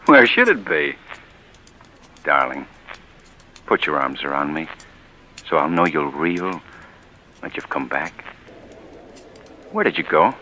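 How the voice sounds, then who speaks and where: A coaxing, anxious husband. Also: male voice, extremely low pitch, big pitch swings, slow pace, long pauses, heavily acted. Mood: tender. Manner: soft, coaxing, with nervous laughs. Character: tender, anxious husband Harry. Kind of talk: 1940s radio drama acting. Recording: 1940s radio broadcast, faint hiss.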